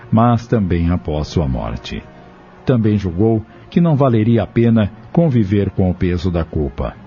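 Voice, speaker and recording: A man narrating a scripted audio drama in a measured, dramatic storytelling manner. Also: on the low side, dark tone. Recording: dull lo-fi audio with music underneath.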